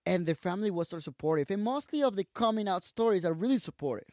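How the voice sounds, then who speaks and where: This is a young man from Mexico who speaks English as a second language, with a clear Mexican Spanish accent. His voice sits fairly high for a man. He tells his story candidly and emotionally, swears freely, keeps saying "bro", and is recorded close and dry. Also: expressive, fast talker, a little mellow, dry recording. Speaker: podcast guest. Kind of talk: casual storytelling interview.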